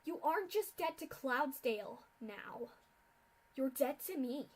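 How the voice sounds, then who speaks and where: A bitter adult pegasus mare lashes out in seething fury, as a woman reading a dark story acts her out. Her voice sits high and climbs into shouted hate. She is cold and unforgiving. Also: unhurried. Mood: bitter, furious. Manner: screaming in rage, then cold and flat.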